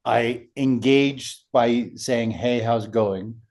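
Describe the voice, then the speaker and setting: A man hosts a relaxed podcast conversation in a deep, dark-toned voice. He tells his stories step by step and tags his points with "right?" to check in with the listener. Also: takes it slowly. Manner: storytelling, frequent "right?" tags. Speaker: podcast host.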